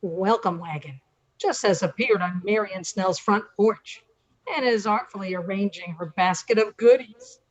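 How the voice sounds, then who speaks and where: A woman's voice: mid-range voice, a little slow. An older woman announcing and narrating a scripted radio play, on a slightly muffled recording.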